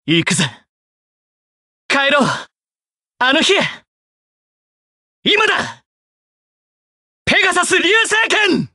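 A man's voice: very high voice, wildly swooping pitch, slow pace, pauses often, no echo, studio quality, exaggerated voice. A man voicing a hot-blooded, fiercely loyal teenage boy warrior in an anime battle game, brash and defiant. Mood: determined, earnest. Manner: shouted attack calls, brash and defiant.

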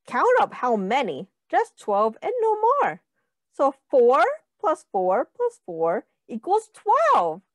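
A woman's voice: a little high, dry recording, studio quality. A woman reading a children's picture book aloud like a teacher, sing-song and encouraging, with prompts to count along.

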